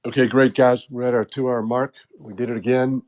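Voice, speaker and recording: A man's voice: medium pitch, flat delivery, brisk, a little mellow, dull audio. American man hosting a rambling chat. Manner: rambling and joking, with many fillers. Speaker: host. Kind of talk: casual group chat.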